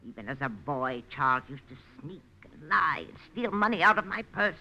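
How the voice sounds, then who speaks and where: Crotchety old auntie in an old radio play, sharp bright voice, hissy dull recording. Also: female voice, low voice, very animated, normal speed, exaggerated voice. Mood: indignant, defiant. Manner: crotchety, bossy, emphatic. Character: feisty, stubborn old great-aunt in a wheelchair, turning eighty. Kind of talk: 1940s radio acting.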